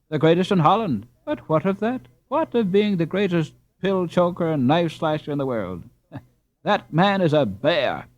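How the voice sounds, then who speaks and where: Man playing a scornful, gruff landlord, animated delivery. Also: on the high side, dry recording, dull audio, voice pushed up. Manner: scornful, injured, sharp. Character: gruff, sharp, resentful male landlord, pompous and scornful.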